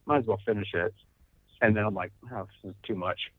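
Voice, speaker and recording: A man's voice: lowish voice, brisk, no room sound. A man co-hosting a casual chat, telling laid-back stories and quoting his own thoughts. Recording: tinny phone-like line.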